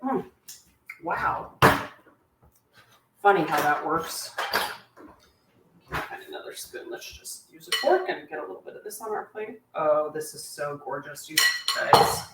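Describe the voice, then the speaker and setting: Woman host, slightly low and squeaky voice, chatty cooking-class teacher nursing a sore back, recorded at a distance in an echoey kitchen. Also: sing-song, unhurried, pauses often, heavy background noise. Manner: chatty, warm-hearted asides, laughs at herself. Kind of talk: live online cooking class, answering viewer questions. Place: home kitchen. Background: clinking cutlery and dishes.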